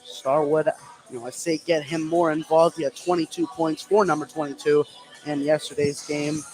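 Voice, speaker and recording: A man doing live play-by-play radio commentary on high school basketball, mixing game calls with conversational banter. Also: fairly even intonation, normal speed, a little mellow, faint music behind, low-quality recording.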